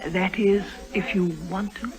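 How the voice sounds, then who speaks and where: An actress playing a genteel, confiding titled lady in an old radio drama, speaking politely and haltingly. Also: mid-range voice, steady tone, crowd noise, close to an everyday voice. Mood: hesitant, confiding. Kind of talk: 1950s radio drama acting.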